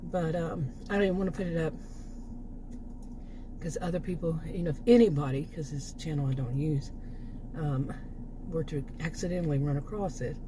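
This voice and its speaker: A middle-aged woman, hoarse from losing her voice, talking in a rambling, unscripted way with many restarts and asides. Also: sits low, moderate pace, long pauses, a little hiss or hum. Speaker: vlogger. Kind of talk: casual unscripted monologue.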